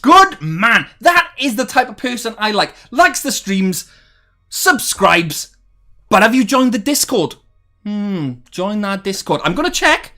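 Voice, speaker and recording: A young man talks casually on a live stream, rambling through his thoughts with frequent ums. His voice sits a little high for a man and brightens whenever he gets excited about his viewers. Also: unhurried, faint music behind. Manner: chatty and rambling, many ums, upbeat bursts when viewers join. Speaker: streamer hosting a game development talk. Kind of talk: casual live stream chat, reading chat messages aloud.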